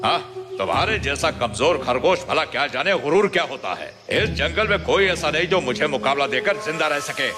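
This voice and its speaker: A man voicing a boastful, commanding tyrant lion who rules the jungle in an animated children's fable. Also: a little high, moderate pace, lightly acted. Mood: angry, proud. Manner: pompous, commanding. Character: boastful tyrant male lion, self-declared jungle king. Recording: long reverb, music behind.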